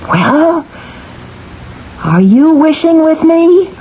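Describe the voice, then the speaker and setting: An actress playing a discontented, ambitious, self-centred wife in an old-time radio mystery, coaxing and insistent in a theatrical way. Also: female voice, high voice, sing-song, very slow, mellow, slight background noise, exaggerated voice. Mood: urging. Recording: muffled vintage radio recording with music.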